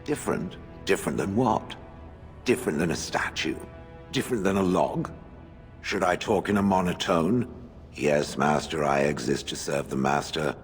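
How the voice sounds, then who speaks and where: An actor playing an ancient stone golem in a video game, sardonic and haughty, with dry, formal phrasing. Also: male voice, deep voice, expressive, moderate pace, soft background music. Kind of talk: video game character acting.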